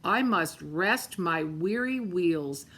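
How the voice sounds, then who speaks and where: A woman's voice: on the low side, expressive, slow pace, a bit bright, breathy edge, pitched below the performer's own voice, heavily acted. A woman voicing a tired, rusty old male engine in a storybook, sighing and drawn out. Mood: weary.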